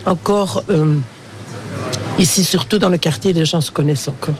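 A middle-aged man whose first language is Dutch, speaking French with a Belgian Dutch accent in a lively, emphatic way, with hesitations, in a street interview. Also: a little high, normal speed, a crowd in the background.

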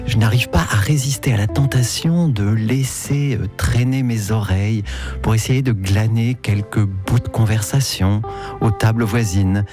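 A man hosting a radio feature, reading a measured, descriptive and gently playful script. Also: on the low side. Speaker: radio reporter and host. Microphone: handheld reporter mic. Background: music bed under narration.

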